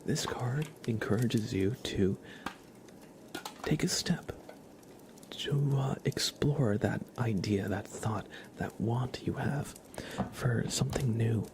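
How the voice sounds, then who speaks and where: A man speaking softly and gently in a casual ASMR livestream style, often drifting into a whisper. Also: mid-range voice, takes it slowly, background noise. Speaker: ASMR live streamer, host. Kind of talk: soft-spoken ASMR livestream, casual chat and tarot reading.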